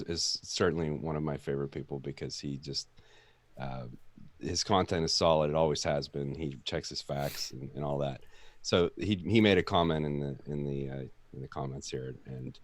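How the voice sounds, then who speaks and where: A man with a deep, monotone voice hosting a casual chat, rambling and reflective with many restarts. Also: dry recording. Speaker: podcast host.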